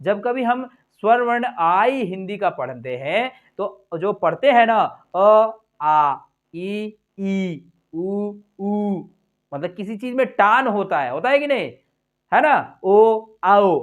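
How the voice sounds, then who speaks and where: A man with an Indian accent teaching an English lesson, encouraging and repetitive, stretching out example sounds to show pitch. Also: expressive, takes it slowly, lo-fi sound. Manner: encouraging, repeats himself and keeps addressing viewers as friends.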